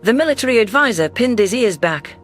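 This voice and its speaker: A man's voice: extremely high pitch, animated, brisk, a bit bright. A man reading scripted first-person science fiction narration as a storyteller. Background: faint music.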